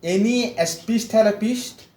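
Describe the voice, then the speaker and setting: Slow, halting man with a high voice. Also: a little mellow. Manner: hesitant, with repeated words and long pauses. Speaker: client giving a testimonial. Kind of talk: personal story, slow and halting. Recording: echoey room with faint background noise.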